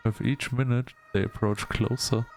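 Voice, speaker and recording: Male gaming host, mid-pitched with vocal fry, narrating a visual novel in a measured, halting way. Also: fairly even intonation, slight background noise. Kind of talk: let's-play narration, reading game text aloud.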